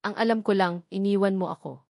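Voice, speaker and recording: A woman's voice: medium pitch, steady tone, a little fast, gravelly, a little mellow, no echo, clean studio sound, natural voice. A woman voicing a hurt, angry, protective young mother in a narrated love story, emphatic and accusing. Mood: trembling, distrustful.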